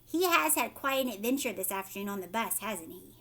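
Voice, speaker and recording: A woman reading a storybook aloud as a teacher, animated, with chatty asides straight to young listeners. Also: medium pitch, brisk.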